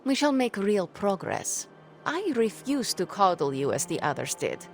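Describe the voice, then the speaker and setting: A woman's voice: medium pitch, sing-song, measured pace, faint music behind. An actress playing a stern, impatient young sorceress mentor in a video game, formal and imperious in her speech.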